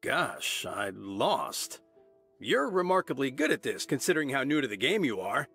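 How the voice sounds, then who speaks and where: A man's voice: mid-range voice, sing-song, normal speed, a bit bright, breathy edge, soft background music, full character voice. A man voicing a polite, good-natured young guard in a video game, with a friendly manner. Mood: surprised. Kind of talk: video game character acting.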